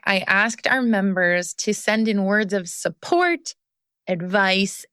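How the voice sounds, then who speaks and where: A woman's voice: mid-range voice, fairly bright tone, clean tone, no echo, studio quality. A woman hosting a podcast as a recovery coach, talking in an earnest, encouraging way. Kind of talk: scripted podcast monologue.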